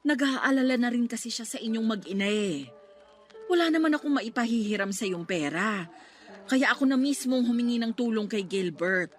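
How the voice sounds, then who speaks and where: A woman's voice: a little high, lively intonation, moderate pace, soft background music, close to an everyday voice. A woman playing a pleading, practical and bossy loyal friend in a radio drama. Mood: pleading, worried. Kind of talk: Tagalog radio drama acting.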